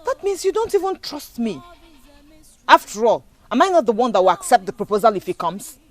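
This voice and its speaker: A woman's voice: fairly high pitch, sing-song, fairly quick. An actress playing a young woman engaged to be married, firm and emphatic. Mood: accusing.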